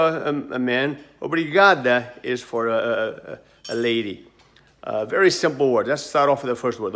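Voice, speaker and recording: A middle-aged man explains a dish as he cooks at home. He rambles, restarts his sentences and fills gaps with "uh" and "you know." His pitch sits in an ordinary middle range for a man. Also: fairly bright tone. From Portugal. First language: Portuguese. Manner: rambling, many fillers and restarts. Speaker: chef. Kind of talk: home cooking demonstration.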